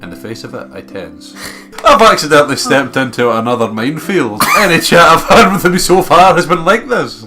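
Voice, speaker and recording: A man's voice: fairly high pitch, sing-song, a little fast, bright, forceful tone. A Scottish man doing a let's play, reading visual novel narration aloud with some casual commentary. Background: guitar music.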